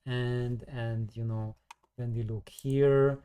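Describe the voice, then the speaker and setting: A man's voice: mid-range voice, fairly even intonation, takes it slowly, warm tone, clean tone. A man giving an informal technical explanation as a hobbyist presenter, circling back over his phrases and using salty slang. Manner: hesitant, repetitive, circling phrasing; colourful, mildly salty slang.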